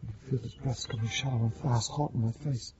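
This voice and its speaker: An actor playing a frightened condemned man struggling to stay composed, halting and repetitive, in a radio drama. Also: medium pitch, fairly even intonation, darkish tone, muffled recording, slight background noise, lightly acted. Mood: dread, resolve. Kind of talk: broadcast radio drama acting.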